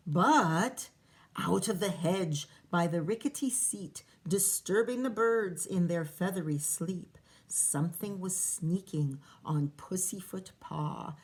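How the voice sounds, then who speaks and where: A woman teacher reading a picture book aloud to children, pausing to ask questions and count along. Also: medium pitch, fairly bright tone. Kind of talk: children's read-aloud with commentary.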